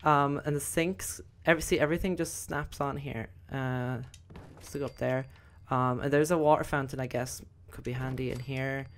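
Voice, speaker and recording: A young woman with a slightly croaky voice, chatting casually through gameplay commentary with lots of fillers. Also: very deep voice, fairly even intonation, moderate pace, hardly any pauses. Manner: chatty, rambling, many fillers. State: croaky, voice recovering. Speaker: gaming video host.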